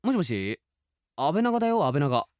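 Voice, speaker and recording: A man in his thirties co-hosting a radio show with casual, agreeable banter and announcements in soft everyday phrasing. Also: a little high, sing-song, moderate pace, dry recording, studio quality.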